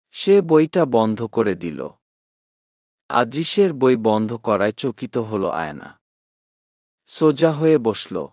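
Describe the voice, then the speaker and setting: A man's voice: mid-range voice, a little mellow, no room sound, clean studio sound. A man narrating a scripted romantic story expressively.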